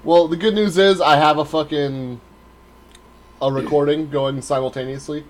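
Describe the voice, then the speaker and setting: A man's voice: on the high side, fairly even intonation, normal speed. A man co-hosting a talk stream in a blunt, casual way, swearing freely. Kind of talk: casual, profane chat.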